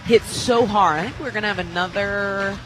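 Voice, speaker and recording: An American woman in her twenties acts as color analyst on a softball radio broadcast. Her delivery is conversational and explanatory, from a booth at the stadium. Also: mid-range voice, normal speed, over music, poor audio. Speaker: color analyst, former college player.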